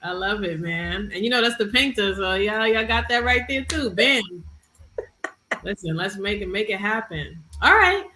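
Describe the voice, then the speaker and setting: Female podcast host, bright tone, relaxed conversational phrasing, echoey room sound. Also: mid-range voice, unhurried, slight background noise. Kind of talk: casual conversational podcast hosting.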